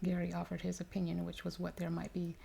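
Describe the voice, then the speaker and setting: Very creaky low-voiced woman reading ASMR. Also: monotone, quick pace, warm tone, slight background noise. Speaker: story reader. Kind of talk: ASMR reading of a ghost story.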